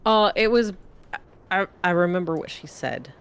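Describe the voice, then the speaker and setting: Brief: chatty, laughing woman, lower voice, home call mic. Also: lively intonation, normal speed. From Pacific Northwest, USA. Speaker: voice actor in a group game playthrough. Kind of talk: casual chat over a voice call.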